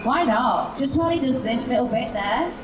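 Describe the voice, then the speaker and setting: A woman's voice: a little high, expressive, normal speed. A woman hosting a live question session on stage, chatty and encouraging with the audience. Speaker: event host. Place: large indoor event hall. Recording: echoey noisy event hall, distant microphone.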